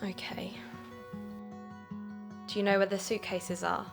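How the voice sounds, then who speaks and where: A woman's voice: medium pitch, level tone, unhurried, gravelly, over music. A woman playing an anxious but determined young podcaster in an audio drama. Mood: subdued, hurt.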